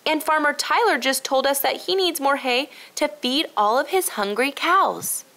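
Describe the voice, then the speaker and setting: A woman's voice: high-pitched, animated, normal speed, bright, forceful tone, pitched above the performer's own voice, exaggerated voice. A woman voicing a helpful young girl in a children's storybook, explaining things earnestly. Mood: thoughtful. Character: bright, helpful young girl solving a mystery. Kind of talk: children's storybook read-aloud.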